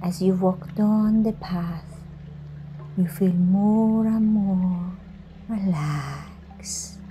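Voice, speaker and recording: A woman leading a scripted guided meditation in a soothing manner, giving instructions in short phrases with pauses between them. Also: medium pitch, mellow, slight background noise, poor audio. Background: music.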